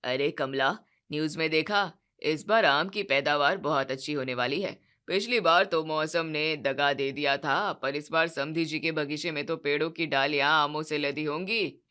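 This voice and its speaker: A woman's voice: low-pitched, normal speed, few pauses, bright, forceful tone, smooth, voice pushed down. A woman voicing a proud, show-off middle-aged father-in-law who grumbles and boasts. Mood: grumbling.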